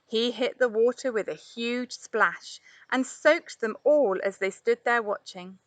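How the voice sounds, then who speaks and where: A woman narrates a children's story expressively. Her voice is bright and on the high side, and the recording is dry with very little room sound. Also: unhurried, no echo. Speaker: storybook reader.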